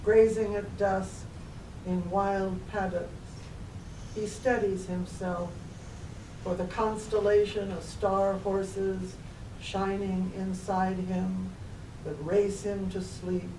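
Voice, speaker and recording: A woman's voice: mid-range voice, level tone, slow pace. A woman who is a poet, reciting her own work at a live poetry reading. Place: public library reading room. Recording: echoey room, distant mic, faint noise.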